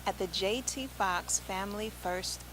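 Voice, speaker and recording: An American woman hosting an interview show, upbeat and affirming, often answering with quick agreements. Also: medium pitch, background noise. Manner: upbeat, affirming with frequent "yes". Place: large event venue.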